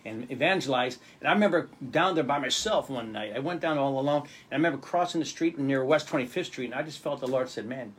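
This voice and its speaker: A man's voice: medium pitch, fast, hardly any pauses, slight background noise. A man hosting a gospel radio interview show in a studio, affirming his guest with frequent amens. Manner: affirming, peppers talk with amens. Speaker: pastor and show host.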